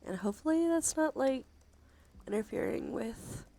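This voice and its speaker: A soft-spoken woman chatting on a cozy ASMR livestream, with a creaky voice that often slips into a breathy whisper. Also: high-pitched, animated, moderate pace. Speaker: streamer.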